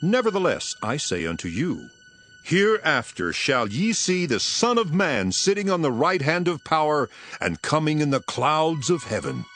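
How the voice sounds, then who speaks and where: An actor playing a solemn, sorrowful holy teacher with authority, speaking in measured declamation in a scripture audio drama. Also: male voice, fairly high pitch, sing-song, a bit bright. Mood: firm authority. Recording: background music under the voice.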